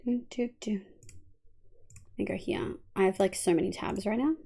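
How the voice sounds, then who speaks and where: A woman's voice: medium pitch, moderate pace, creaky, warm tone, breathy edge. A young Australian woman streaming live, chatting casually with her viewers and walking them through settings, her voice congested and nasal. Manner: chatty, talks to chat, hums to herself. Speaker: livestreamer. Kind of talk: casual livestream chat and walkthrough.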